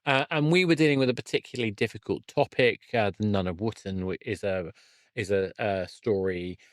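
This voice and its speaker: A man's voice: on the low side, a little fast, no echo, clean studio sound. A man chatting casually as a podcast host, thinking aloud and often correcting himself mid-sentence. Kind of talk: casual conversational interview.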